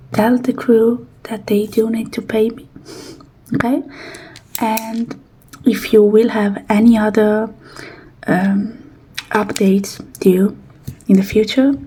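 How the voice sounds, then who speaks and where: A woman playing a calm, self-assured hacker in an ASMR roleplay, hushed and reassuring. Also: medium pitch, slightly rough.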